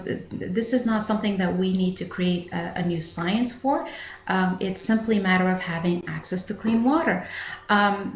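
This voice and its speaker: A middle-aged woman from Afghanistan giving earnest, halting answers as an interview guest. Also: lowish voice. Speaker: founder of a charitable bottled water company, interview guest. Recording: echoey room, faint background noise.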